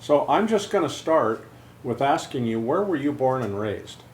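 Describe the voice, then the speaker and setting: Interviewer, man, mid-pitched, measured, roomy sound with faint noise. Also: very animated. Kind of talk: interview questions.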